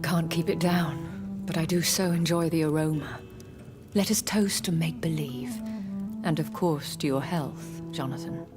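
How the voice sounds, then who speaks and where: A woman playing a centuries-old aristocratic vampire lady in a video game, with a formal, poised, gently teasing way of speaking. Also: low voice, fairly even intonation, a little slow, loud music behind the voice. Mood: gracious. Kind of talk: dramatic video game acting.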